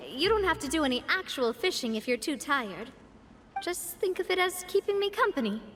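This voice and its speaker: A woman's voice: high-pitched, sing-song, moderate pace, a little hiss or hum. A woman playing a cheerful, teasing, confident young lady boss in a video game, breezy and polished. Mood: warm, cheerful. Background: game music.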